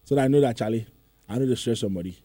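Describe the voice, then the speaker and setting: A man with a Ghanaian accent hosts a relaxed-format panel chat. His voice is mellow and soft-edged, recorded close and dry. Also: mid-range voice, very fast, no room sound. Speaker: show host.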